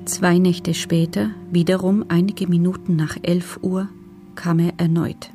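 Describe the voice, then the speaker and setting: A guarded female prisoner gives testimony in a radio play. Her voice is lowish and dark, with a marked creak. She speaks soberly and with restraint, at a measured tread. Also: little pitch movement, soft background music. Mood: restrained, sober. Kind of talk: documentary radio play, reading written testimony.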